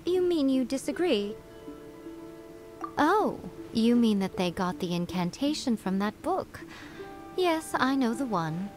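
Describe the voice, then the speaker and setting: A woman's voice: fairly high pitch, big pitch swings, slow, a little mellow, faint music behind. A woman voicing a sly, wise fox-spirit shrine priestess in a video game, composed and lightly teasing as she lectures.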